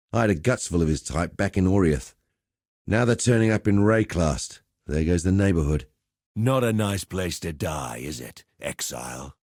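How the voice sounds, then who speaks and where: A blokey or gladiator from a video game, cocky and chatty, swingy mellow voice, really dry recording. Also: male voice, on the low side, no room sound. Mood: wry, chatty, then rueful, strained. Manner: chatty, cocky, blokey storytelling with colloquial swearing. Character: cheerful rough-and-ready male arena gladiator and fight master. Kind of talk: video game character dialogue.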